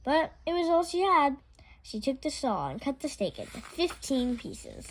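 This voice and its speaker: Casting: female storytime reader, fairly high voice, expressive and lively melody. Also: moderate pace. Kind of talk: expressive children's storybook narration.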